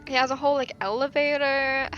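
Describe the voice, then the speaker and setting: A young woman in casual, halting chat while watching a friend's game. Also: high-pitched, flat delivery, fairly bright tone, highs cut off. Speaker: friend joining gameplay commentary. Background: game music.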